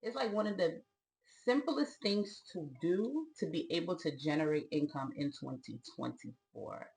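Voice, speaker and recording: A woman's voice: sits low, moderate pace, gravelly, rough recording. A woman with a Haitian Brooklyn accent, co-hosting a casual chat and explaining business ideas with enthusiasm. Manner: enthusiastic, animated, talks with her hands in her words. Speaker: co-host of a business channel.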